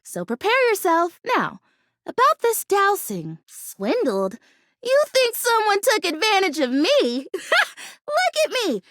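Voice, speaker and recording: A bubbly little fake houseplant from a video game, with a very high, lively voice that leaps around. She is naive and stubbornly hopeful, chattering emphatically with big dramatic flair. The sound is close and dry. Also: dry recording, heavily acted. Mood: earnest, then indignant, hopeful. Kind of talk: animated video game character acting.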